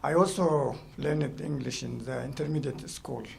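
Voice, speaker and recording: A man from Sudan speaking slowly and haltingly on a panel. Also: medium pitch, vocal fry, a little mellow. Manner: slow, halting.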